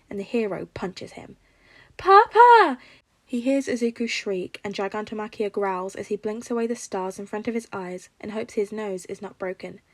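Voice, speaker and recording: A woman's voice: mid-range voice, darkish tone, no room sound. A woman reading a scripted story aloud as a fiction narrator. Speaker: podfic narrator.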